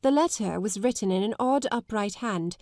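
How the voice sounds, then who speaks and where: A woman narrates classic fiction in an articulate, measured way. Her voice sits at a medium pitch, and the recording is dry, with no room sound. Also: animated, a little mellow, dry recording. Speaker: audiobook narrator. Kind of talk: scripted literary narration.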